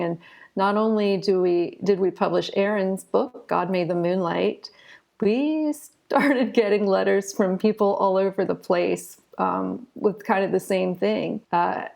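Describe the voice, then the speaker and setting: A woman's voice: mid-range voice, creaky, a little mellow. A middle-aged American woman answering interview questions in a chatty, informal way, telling stories as she explains. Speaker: interview guest, children's author and illustrator. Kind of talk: conversational interview answers, storytelling.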